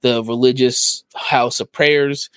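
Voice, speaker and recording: A man's voice: mid-range voice, fairly even intonation, slow, a bit bright, smooth, no room sound. A man co-hosting a podcast, recounting things in a casual, conversational way. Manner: conversational, retelling. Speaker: podcast co-host.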